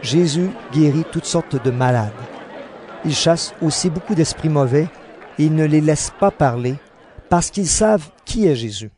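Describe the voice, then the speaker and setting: A man's voice: a little high, lively intonation, a little slow, darkish tone, a faint crowd. A man reading scripted narration for a dramatized audio Bible. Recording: narration over clear background music.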